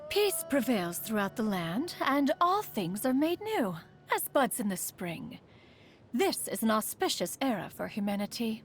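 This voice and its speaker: A woman's voice: fairly high pitch, sing-song, a little slow, faint music behind. A woman playing a proud, aloof immortal adept who secretly cares, speaking in formal, archaic phrases as a video game character. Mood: lofty, composed. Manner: haughty, archaic formal speech, refers to self as "one".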